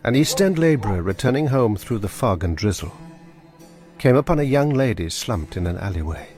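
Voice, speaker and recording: A man narrating a period radio drama in a measured, grave storytelling manner. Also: fairly low pitch, sing-song, darkish tone, soft background music.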